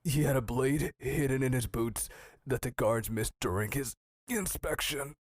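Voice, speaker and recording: A man playing a cowed, submissive royal guard captain in an audio drama, halting and deferential. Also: mid-range voice, dry recording, clean studio sound, lightly acted. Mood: cowed, shaken.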